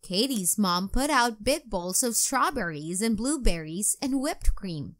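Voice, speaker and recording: A woman narrating a children's storybook as a read-aloud, in a deliberate, clearly enunciated storytelling manner. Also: mid-range voice, expressive, fairly bright tone.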